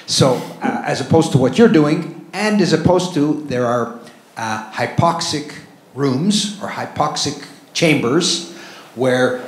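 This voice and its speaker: A man in the audience with a loud voice, asking a long, rambling question full of fillers and restarts. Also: medium pitch. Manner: rambling, self-deprecating, many fillers. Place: large lecture hall with audience. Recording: echoey hall, faint noise.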